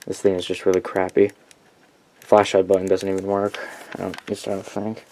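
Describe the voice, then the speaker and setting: A man's voice: sits low, monotone, normal speed, darkish tone. A man giving a casual, unscripted showcase of his collection, rambling, correcting himself and speaking bluntly. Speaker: collector presenting weather radios. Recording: clear background noise.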